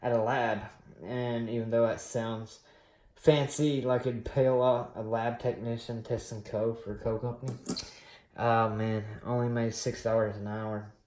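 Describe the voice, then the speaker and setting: A man in his late thirties telling his story on a coin collecting vlog, rambling and relaxed with many pauses and fillers. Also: medium pitch, roomy sound. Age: late 30s. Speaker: coin collecting channel host. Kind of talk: casual personal storytelling while unboxing.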